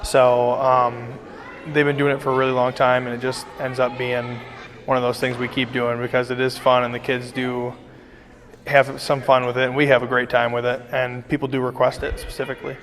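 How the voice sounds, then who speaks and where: A man's voice: mid-range voice, completely flat delivery, moderate pace, fairly bright tone. A young man giving unscripted interview answers as a member of a charity team. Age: young adult. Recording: reverberant hall.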